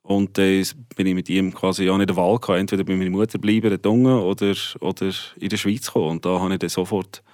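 A young man with a fairly low voice speaks Swiss German colored by his native Afrikaans. He talks in a relaxed way, laughing easily and restarting phrases as he goes. The sound is dry and close, with little room. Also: fast talker, hardly any pauses, dry recording. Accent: Afrikaans-tinged Swiss German. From South Africa. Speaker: guest musician. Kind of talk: casual interview chat.

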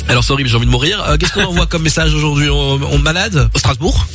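Brief: quick, friendly male host running an on-air quiz, light music bed. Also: on the high side, a bit bright, slightly rough. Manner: brisk, friendly, addressing a caller. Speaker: radio host. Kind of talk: radio game hosting. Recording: radio broadcast with faint music bed.

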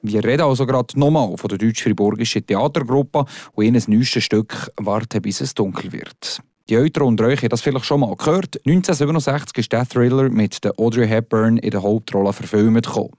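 Swiss German radio presenter, easygoing, dry studio mic. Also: male voice, mid-range voice, fairly quick, darkish tone, no room sound.

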